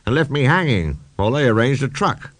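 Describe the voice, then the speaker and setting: A man's voice: mid-range voice, sing-song, brisk, a bit bright, dry recording. A man voicing a proud, indignant old male steam engine who tells grumbling, emphatic stories in a children's audiobook.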